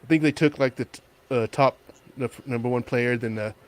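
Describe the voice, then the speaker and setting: Mid-pitched man, casual chat. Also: brisk, a little hiss or hum. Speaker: gaming panel guest.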